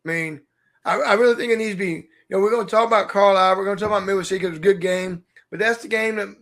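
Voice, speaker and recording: A man who coaches high school basketball, talking through rules in a thoughtful, searching way on a casual podcast. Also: rapid-fire, fairly bright tone. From Oklahoma. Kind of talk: casual sports chat.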